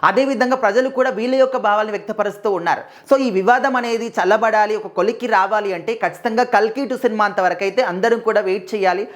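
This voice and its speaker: A woman's voice: mid-range voice, rapid-fire, hardly any pauses. A woman presenting entertainment news commentary in an emphatic, conversational explainer style, often repeating her phrasing. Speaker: presenter of film news videos.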